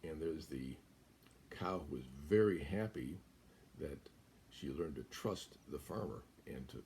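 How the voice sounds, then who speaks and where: Gravelly older American man reading a storybook. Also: very deep voice, animated, unhurried, darkish tone, a little hiss or hum. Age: 70s. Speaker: guest storyteller at a church story time. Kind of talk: reading a children's picture book aloud. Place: small room indoors.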